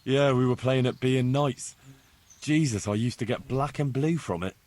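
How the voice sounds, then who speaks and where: An actor playing a good-natured young medieval blacksmith's son in love, earnest and playfully gallant, in video game dialogue. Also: male voice, mid-range voice, normal speed, darkish tone, natural voice. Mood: fondly nostalgic. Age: young adult.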